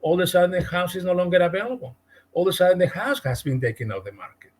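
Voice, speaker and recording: A man with a Spanish-speaking Latin American accent, a real estate agent guest telling a story in an animated podcast interview. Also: high voice, racing. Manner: animated, retells conversations with emphasis.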